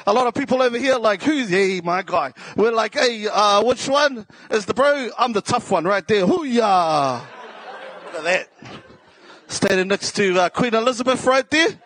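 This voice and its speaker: A man's voice: sits high, animated, moderate pace, fairly bright tone, some chatter behind, slight background noise. A man with a South Auckland New Zealand accent telling stories casually on stage as a comedian, joking and self-deprecating in slangy speech. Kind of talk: casual comedic live storytelling.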